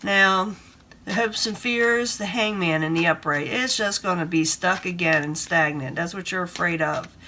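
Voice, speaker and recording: Hoarse woman with a bright voice. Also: fairly low pitch, normal speed, slight background noise. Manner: rambling, repeats herself, gives advice. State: hoarse from a cold, breathing hard. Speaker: tarot reader. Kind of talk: casual tarot reading.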